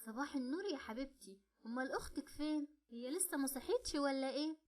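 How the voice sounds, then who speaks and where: A woman's voice: high voice, animated, quick pace. A woman voicing a worried, scolding mother with an Egyptian accent. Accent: Egyptian Arabic. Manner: scolding, anxious.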